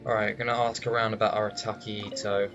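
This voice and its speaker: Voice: mid-range voice, level tone, quick pace. A gaming video host giving casual, reactive commentary while playing. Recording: dull lo-fi sound over game music.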